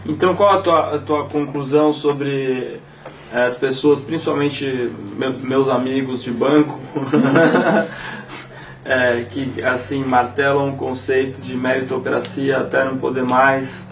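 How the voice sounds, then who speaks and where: A man hosting an interview, asking slow, hesitant questions with restarts. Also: mid-range voice, fairly even intonation, a little hiss or hum, rough recording. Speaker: interviewer and show host.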